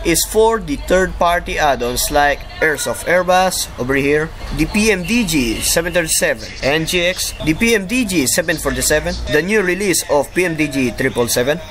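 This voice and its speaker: A man with a Filipino accent narrating a software tutorial in an informal way. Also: fairly high pitch, animated, normal speed, hardly any pauses. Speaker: tutorial presenter. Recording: clearly noisy home voice-over recording.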